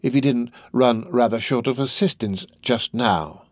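A man voicing a sly, ingratiating older criminal in a storybook reading, drawing his words out slowly. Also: mid-range voice, no echo, clean studio sound, close to an everyday voice. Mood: sly, coaxing. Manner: slow, drawn-out, ingratiating. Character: sly, wheedling older male criminal fence.

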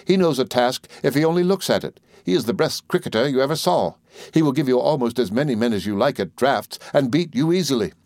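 A man's voice: mid-range voice, animated, a little fast, darkish tone, no room sound, pitched above the performer's own voice, lightly acted. An earnest young schoolboy read by a grown man speaks politely. He is eager and effusive in his admiration, with a dry, close storybook sound.

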